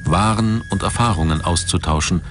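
A male documentary narrator with a low voice reads from a script. His delivery is measured and articulate, and there is clearly audible ambient noise behind him.

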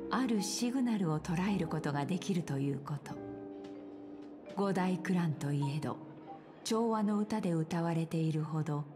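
A woman's voice: lowish voice, close to an everyday voice. An actress playing an elegant, composed senior businesswoman in a game, with a teasing, coaxing manner. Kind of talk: Japanese game voice acting. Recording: music behind the voice.

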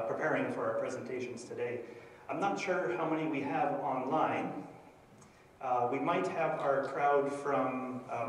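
A man's voice: medium pitch, slight background noise. A middle-aged man with a Canadian accent, a minister presenting a project and reading scripture in a church, hesitant and full of fillers. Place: church sanctuary before a projector screen. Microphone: handheld microphone. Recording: echoey church from a far camera.

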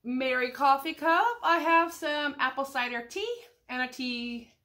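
A woman's voice: high voice, steady tone, a little slow, pushed and bright. A middle-aged American woman, slightly congested from a cold, chatting casually and ramblingly through a shopping haul in a small room at home. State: has a head cold. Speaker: vlogger.

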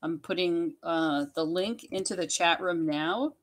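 A woman's voice: on the low side, measured pace. A woman co-hosting a casual chat, passing on viewers' questions and announcements. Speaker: co-host relaying viewer questions.

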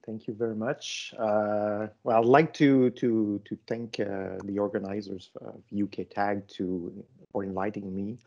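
Gravelly man presenting online. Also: lowish voice, little pitch movement, darkish tone. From Canada. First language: French. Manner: halting, many fillers and restarts. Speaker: conference presenter. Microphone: video call microphone. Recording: dull lo-fi call audio.

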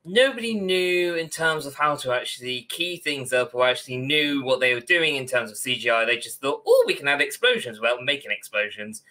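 A man's voice: mid-range voice, expressive, a little fast, hardly any pauses, bright, forceful tone. A young man co-hosting a podcast over a video call, giving a wry, opinionated, casual critique. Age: young adult. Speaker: podcast co-host. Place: small room at home, on video call.

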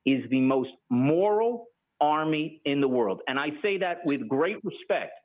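Middle-aged American male guest, deliberate arguer, tinny phone-like audio. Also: on the high side, expressive. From United States. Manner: deliberate, argumentative, rhetorical questions. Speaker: political guest. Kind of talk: measured political argument in interview. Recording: tinny broadcast audio re-recorded.

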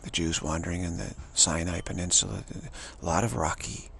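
A man giving a rambling, informal spiritual monologue in short, halting phrases that trail off. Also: low-pitched, little pitch movement, creaky, a bit bright, slight background noise. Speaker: spiritual vlogger.

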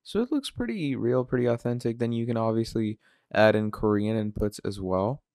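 A man giving a casual screen-recorded software tutorial, filling his talk with "obviously" and "you're gonna". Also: mid-range voice, moderate pace, no room sound, studio quality. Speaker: tutorial presenter.

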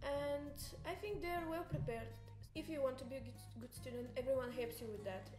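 A young woman speaks earnestly in a high voice, pausing on fillers. The room gives her voice a roomy ring. Music plays underneath. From Bulgaria. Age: 20s. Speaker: exchange student. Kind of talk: casual interview.

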